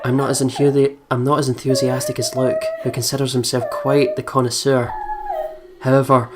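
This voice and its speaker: A woman's voice: a bass voice, level tone, fairly quick. A woman hosting a let's play, reading the game's narration dramatically and expressively with candid, profane asides. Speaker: let's play video host. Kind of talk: voiceover reading of game narration with candid reactions. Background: game music.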